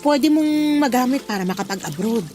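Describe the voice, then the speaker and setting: Lady doing a filipina older coworker in a radio drama, nosy n encouraging, really high lively voice, music behind. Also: normal speed, close to an everyday voice. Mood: urging. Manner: animated, prodding, exclamatory. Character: nosy, caring older female co-worker, blunt and encouraging. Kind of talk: Tagalog radio drama acting. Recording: music bed under the dialogue.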